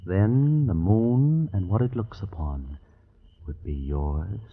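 A man's voice: lowish voice, lively intonation, slow, husky, exaggerated voice. A man playing a scheming, discontented young dreamer in an old-time radio drama, with stylized, theatrical, archaic speech. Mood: brooding, coaxing. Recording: muffled old radio recording.

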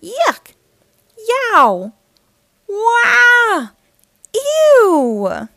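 A woman voicing bumbling, menacing male burglars in a children's storybook, loud and exclamatory. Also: very high-pitched, huge pitch swings, extremely slow, gravelly, dry recording, clean studio sound, voice pushed up, exaggerated voice. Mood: pained, disgusted.

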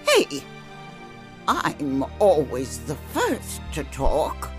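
A woman's voice: low voice, huge pitch swings, extremely slow, faint music behind, full character voice. A woman playing a haughty, dry-witted witch storyteller in a comic fantasy audio drama. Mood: indignant. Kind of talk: scripted comic fantasy banter.

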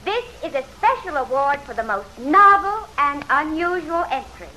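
A woman's voice: high voice, expressive, moderate pace, pushed and bright, low-quality recording. An actress playing a gushing, fluttery society lady making formal announcements in an old-time radio drama. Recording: vintage radio recording with background noise.

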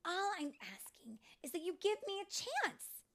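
A woman's voice: extremely high pitch, very animated, a little slow, a bit bright, voice pushed up, exaggerated voice. A woman voicing an eager, headstrong young female fairy from a storybook, emphatic and easily frustrated. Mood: eager, pleading. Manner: emphatic, impassioned.

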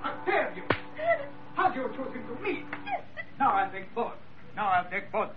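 An actor playing a jealous, greedy, violent villain in a rage, in old radio melodrama style. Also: male voice, extremely high pitch, sing-song, normal speed. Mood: enraged. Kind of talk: 1940s-50s radio melodrama acting. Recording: tinny old radio recording, music behind.

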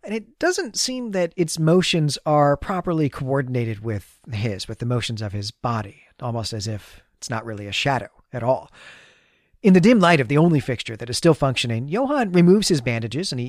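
A man's voice: medium pitch, dry recording. Male podcast co-host, dry close sound. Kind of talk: story recap and casual literary discussion.

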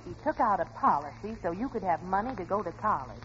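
A woman with an American accent playing a sensible, gently doubting wife and mother in a 1940s radio comedy, on a noisy old recording. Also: mid-range voice, lively intonation, quick pace, dull audio, a crowd in the background, close to an everyday voice. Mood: concerned, reasoning.

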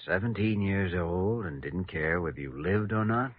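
Patient, firm young American doctor, husky low voice, vintage radio drama on a dull recording. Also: male voice, no echo. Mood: calm, concerned. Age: young adult. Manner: measured, hesitant, reasoning. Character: earnest, patient young male hospital doctor, quietly firm. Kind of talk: classic American radio acting. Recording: muffled old radio recording.

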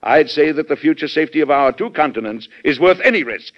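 An actor playing a resolute middle-aged American president with an emphatic, authoritative delivery in an old-time radio drama. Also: male voice, fairly high pitch, lively intonation, quick pace, natural voice. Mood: firm, impassioned. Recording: dull, lo-fi vintage radio recording.

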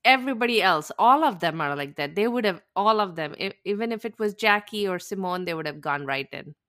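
A woman's voice: mid-range voice, expressive, brisk, bright, forceful tone. A woman co-hosting a podcast, chatting casually about reality TV and agreeing often. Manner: agreeable, chatty, lots of "yeah". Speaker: podcast co-host. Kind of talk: casual reality-TV recap chat.

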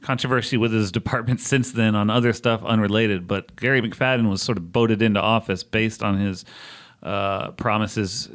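A man's voice: on the low side, steady tone, moderate pace. A man hosting an interview, asking long, roundabout questions in a casual conversational way. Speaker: interviewer, host.

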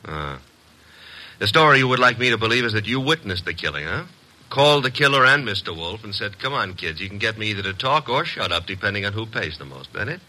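An actor playing a cocky, wisecracking detective's assistant in 1950s radio style, wry, slangy and needling. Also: male voice, fairly low pitch, big pitch swings, fast talker, sharp tone, heavily acted, low-quality recording. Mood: pressing, insinuating.

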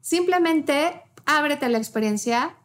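A woman leading a guided meditation with gentle instructions, in short phrases with pauses between them. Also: high-pitched, expressive.

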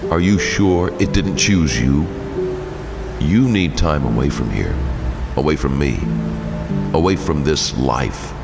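An actor playing a brooding, weary vigilante in an audio drama, gravelly, terse and grave. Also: male voice, extremely low pitch, expressive, takes it slowly, long pauses, full character voice. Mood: stern, resigned. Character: brooding, weary adult male vigilante crime fighter. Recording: long reverb, music behind.

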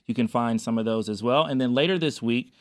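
Clear-voiced man promoting an event. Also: medium pitch, brisk. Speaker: event organizer guest. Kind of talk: casual promotional interview talk.

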